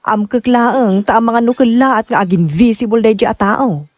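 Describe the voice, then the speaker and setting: A woman playing a caring, cautious younger woman in an Ilocano radio drama, concerned and gently questioning. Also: fairly high pitch, normal speed, lightly acted. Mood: doubtful.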